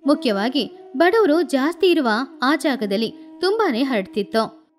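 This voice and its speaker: A woman narrating a scripted moral story with expressive, animated delivery. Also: sits high, a little slow, faint music behind.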